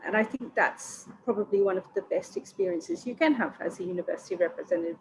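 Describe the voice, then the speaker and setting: A woman, a university regional recruitment manager, speaking in a measured, professional way on a video call webinar panel. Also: fairly low pitch, darkish tone, a little hiss or hum, rough recording.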